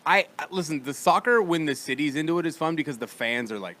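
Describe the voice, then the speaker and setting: Bright-voiced rambling podcast host. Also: male voice, fairly high pitch, quick pace. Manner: animated, rambling, swearing. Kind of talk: casual comedy chat. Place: podcast studio.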